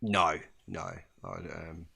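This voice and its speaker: A man's voice: low-pitched, steady tone, slow pace, gravelly, bright, forceful tone, slightly rough. A man co-hosting a casual podcast, hesitant with many ums and a dry wit. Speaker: podcast co-host. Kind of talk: casual chat.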